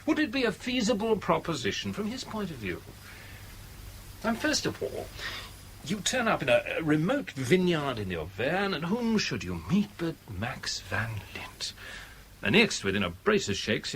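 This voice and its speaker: British, urbane cautionary adviser, highish voice, precise rhetorical delivery, 1970s radio drama, faint hiss. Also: male voice, sing-song, fairly bright tone. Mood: dry, probing. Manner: deliberate, rhetorical, pedantically precise phrasing.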